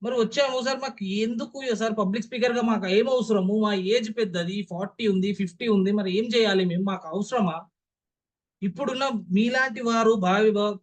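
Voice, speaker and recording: A young male trainer with a Telugu accent speaks in a notably high voice. He lectures an online class emphatically, leaning on key words. He keeps putting rhetorical questions to his listeners to check that they follow. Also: brisk.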